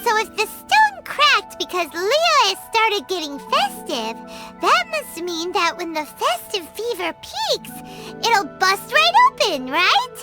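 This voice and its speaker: A woman voicing a tiny, excitable, curious childlike companion in a video game, squeaky and eagerly inquisitive. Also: slow, music in the background, full character voice. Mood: excited, eager. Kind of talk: scripted video game dialogue.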